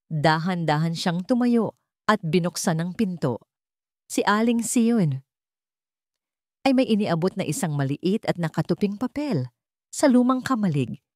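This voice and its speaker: Female Filipino narrator for dramatic fiction, deliberate delivery, lively melodic range, close dry sound. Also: on the low side, no echo. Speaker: story narrator. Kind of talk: dramatic scripted narration of a Tagalog romance drama.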